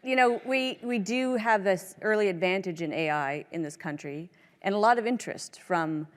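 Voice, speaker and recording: A woman's voice: medium pitch, normal speed. A woman interviewing a guest on stage and asking probing questions in a conversational way. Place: large event hall. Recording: long hall reverb.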